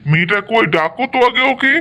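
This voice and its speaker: A man's voice: very high voice, lively intonation, quick pace, bright, forceful tone, heavily acted. A man with a Bengali accent playing a humble, good-natured poor farmer and devoted husband in a cartoon, folksy and agreeable. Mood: excited. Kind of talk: cartoon voice acting.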